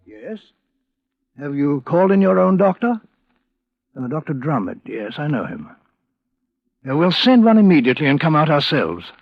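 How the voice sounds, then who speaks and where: Probing male inspector, stiffly polite, animated pitch, old radio drama, dull dry sound. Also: moderate pace, creaky, long pauses, no echo, full character voice. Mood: brisk, concerned. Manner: formal, polite but pressing. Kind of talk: 1940s-50s radio drama acting. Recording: dull vintage radio recording.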